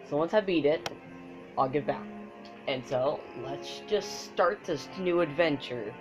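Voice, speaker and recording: A let's play gamer talking casually at a middling pitch. The recording is dull and lo-fi, made in a room by a TV, with game music clearly playing behind the voice. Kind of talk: casual let's play commentary, reading intro text aloud. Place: room in front of a TV screen. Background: loud game music from a TV.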